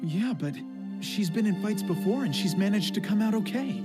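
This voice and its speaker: A man playing an earnest, stubborn, idealistic teenage boy in an English anime dub, hesitant yet quick to protest. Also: sits high, animated. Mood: worried. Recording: clear music bed under dialogue.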